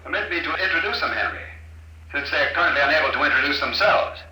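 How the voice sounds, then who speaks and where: An actor playing a calm, mocking male villain who speaks over an intercom in a 1950s radio drama. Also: a little high, a little hiss or hum. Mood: cold. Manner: smooth, unhurried, mocking. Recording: echoey intercom on old radio broadcast.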